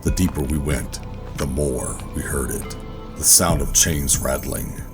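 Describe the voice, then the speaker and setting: An extremely deep, brooding man acting as a world-weary government operative in a sci-fi horror audio drama. He is guarded and deliberate in his storytelling, with music playing clearly beneath him. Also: steady tone. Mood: ominous, tense. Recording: music under the voice.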